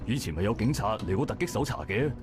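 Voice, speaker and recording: A man playing a calm, obliging dock worker in a visual novel, with a Hong Kong Cantonese accent. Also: on the low side, quick pace, over music, natural voice. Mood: reassuring.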